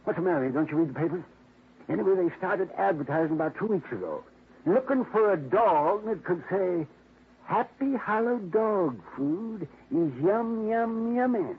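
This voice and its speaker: An actor playing a gruff, quick-tempered older man who owns a prized dog, in 1950s radio drama style, heard through a tinny old broadcast. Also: high voice, lively intonation, heavily acted. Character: blustering older man, rich dog owner.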